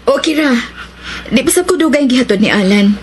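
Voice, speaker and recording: A woman's voice: high voice, expressive, a little fast, a little hiss or hum. A woman in a radio drama playing an anxious, devoted accomplice to her lover. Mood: alert, urgent. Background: dramatic background music.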